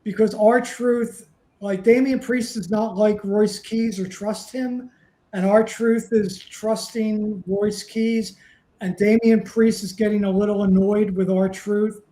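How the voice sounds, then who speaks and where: A man's voice: sits high, steady tone, slow, mellow. A man of about seventy co-hosting a casual chat podcast, wry and dry in his delivery. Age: 60s. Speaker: podcast co-host. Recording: roomy echo.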